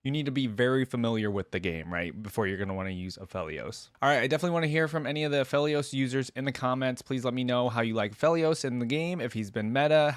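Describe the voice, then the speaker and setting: A man's voice: mid-range voice, no echo, clean studio sound. A man hosting a reaction video, commenting casually as he watches.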